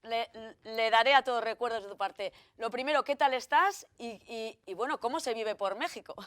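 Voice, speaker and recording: A woman's voice: on the high side, moderate pace, no echo. A woman hosting a regional TV magazine, chatting through an interview with lots of fillers and self-corrections. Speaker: TV show host.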